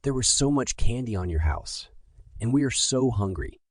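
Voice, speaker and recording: A brave young big sister in a storybook tale, played by a man, earnest and reassuring. The voice is lifted above a low natural pitch and has a soft rasp, recorded dry. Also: lively intonation, moderate pace, no echo, natural voice. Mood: polite, pleading.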